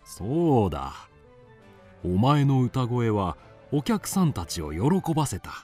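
A kindly gentleman doctor in an audio drama. He speaks with composed, old-fashioned authority and widely moving intonation. Faint music sits behind him. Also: mid-range voice, sing-song, takes it slowly, slightly rough, full character voice. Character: kindly, learned male doctor recording an animal's biography. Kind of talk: Japanese radio drama acting.

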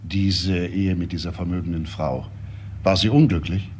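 An actor playing a calm, persistent homicide detective who asks short, matter-of-fact questions in a crime drama. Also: male voice, low-pitched, normal speed, slight background noise, natural voice. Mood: probing.

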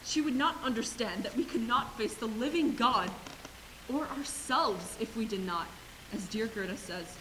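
A young woman acting a resolute, compassionate Mother Superior in a stage play, with earnest, deliberate delivery. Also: fairly high pitch, expressive, natural voice. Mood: firm, sorrowful. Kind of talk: amateur stage acting in a high school play. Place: theater stage, indoors. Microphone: distant camera. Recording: hissy stage recording from audience camera.

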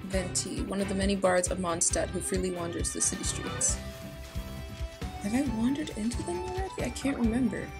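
A woman's voice: medium pitch, animated, brisk. A young woman giving casual, gushing, giggly reaction commentary from a small room. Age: young adult. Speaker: reaction video host. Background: music and game trailer audio.

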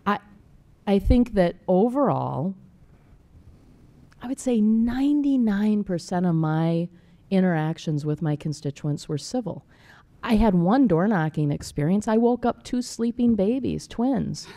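A woman's voice: medium pitch, animated, unhurried, mellow. A middle-aged woman on a panel, speaking candidly and conversationally in a self-deprecating, anecdotal way. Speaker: panelist, former elected official. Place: council chamber with small audience. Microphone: gooseneck desk microphone.

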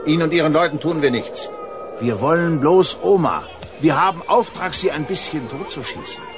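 An actor playing a cynical contract killer in a radio drama, mockingly polite and menacing. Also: male voice, fairly high pitch, normal speed, music in the background, close to an everyday voice. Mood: cool menace. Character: cynical smooth-talking male contract killer in Santa guise. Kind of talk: German radio drama acting.

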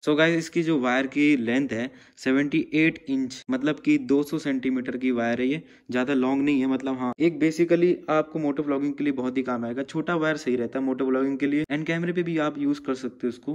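A man's voice: medium pitch, a little mellow. A man giving an informal product review and tutorial, talking to viewers like friends. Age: 20s. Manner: informal, addresses viewers as guys and bhai. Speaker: vlogger. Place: bedroom at home.

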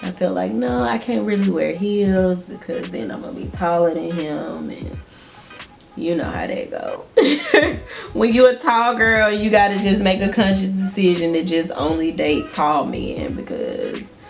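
A woman's voice: mid-range voice, sing-song, unhurried, creaky, soft background music. A young woman from Georgia with a Southern accent, chatting casually with viewers while doing her makeup at home. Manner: chatty, laughs often, addresses viewers directly. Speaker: beauty vlogger. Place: small indoor room at home.

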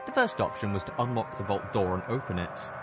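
Low-pitched man narrating dramatically. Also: brisk, loud music behind the voice. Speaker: audiobook narrator.

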